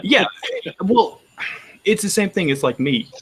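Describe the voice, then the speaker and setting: A man's voice: high voice, sing-song, a little slow, fairly bright tone, husky. Texan man chatting casually. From Texas. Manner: rambling, frequent restarts. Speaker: roundtable panelist. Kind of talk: casual chat.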